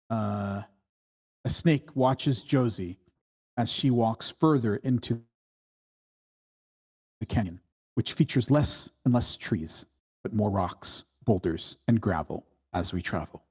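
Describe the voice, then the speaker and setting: A narrator reading screenplay stage directions at a table read in measured, deliberate short phrases. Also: medium pitch, a little mellow, husky. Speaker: screenplay reader.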